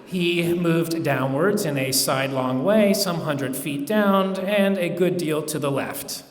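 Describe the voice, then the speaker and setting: A man giving a dramatic, deliberate live reading of gothic horror, drawing out words and moving between hushed and forceful delivery. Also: on the high side, faint music behind. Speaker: reader at a live public reading. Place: large echoey room at a live museum event. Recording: echoey hall with long reverb.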